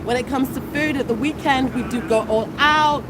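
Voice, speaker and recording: British woman, forties, high voice, chatty livestream, exclamatory, outdoors, phone at arm's length, loud street traffic behind. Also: normal speed. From United Kingdom. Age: 40s. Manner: chatty, exclamatory, warm asides. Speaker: vlogger. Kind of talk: casual livestream chat. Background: passing traffic.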